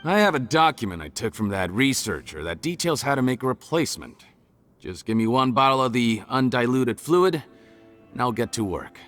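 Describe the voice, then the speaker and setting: A man's voice: medium pitch, big pitch swings, normal speed, fairly bright tone, soft background music. An actor playing a cold, calculating male criminal leader in a game cutscene, talking down to the others. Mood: scornful. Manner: condescending, calculating.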